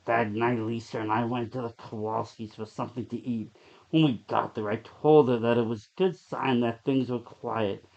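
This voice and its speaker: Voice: mid-range voice, fairly even intonation, moderate pace, vocal fry, darkish tone. Horror story narrator, slightly dull lo-fi sound.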